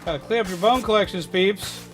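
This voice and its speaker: Male game streamer, higher-pitched, jokey and playful, casual chat over clear background music. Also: fairly quick. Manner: jokey, playful, repeats phrases. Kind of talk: casual livestream gameplay commentary with chat.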